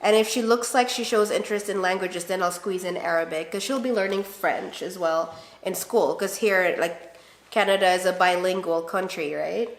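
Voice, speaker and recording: A woman's voice: on the low side, normal speed. Tagalog-speaking woman in an echoey room. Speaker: vlog co-host, mother. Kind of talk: casual couple chat. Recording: roomy echo, faint background noise.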